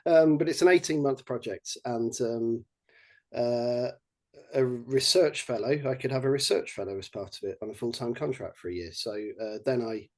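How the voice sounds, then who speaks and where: A man's voice: mid-range voice, a little mellow. Rambling English man, many restarts. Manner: halting, many restarts and fillers. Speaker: project lead, guest. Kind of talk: casual podcast chat.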